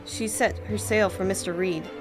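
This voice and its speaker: A woman playing a knowing, sardonic newspaper office worker in an old-time radio drama, teasing and sharp-tongued. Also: mid-range voice, steady tone, moderate pace, over music, close to an everyday voice. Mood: exasperated.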